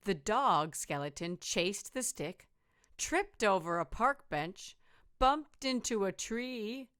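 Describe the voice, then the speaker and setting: A woman teacher reading a children's storybook aloud in a deliberate, rhythmic, expressive way. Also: mid-range voice, clean tone.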